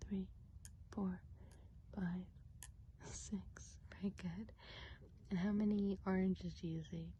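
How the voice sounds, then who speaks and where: A woman whispering softly and breathily in an unhurried, reassuring ASMR role play as a kindly woodland witch. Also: medium pitch. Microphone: close microphone. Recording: close whisper with audible background hiss.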